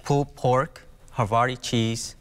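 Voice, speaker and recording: A man's voice: medium pitch, fairly even intonation, unhurried, a bit bright. Casting: courteous man chef on TV, short replies, ringing reverb. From South Africa, grew up in Taiwan. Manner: polite, brief answers, repeats affirmations. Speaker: executive chef guest. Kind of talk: TV interview. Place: outdoor zoo kitchen set.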